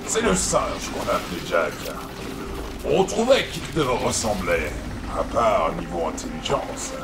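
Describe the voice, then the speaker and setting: Casting: a man as a gravelly, mocking pirate captain villain for a parody audio drama, with music behind him. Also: low voice, sing-song, a little slow, roomy sound, heavily acted. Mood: smug. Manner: mocking, grandiose and coldly polite. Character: smug, scheming male pirate captain villain.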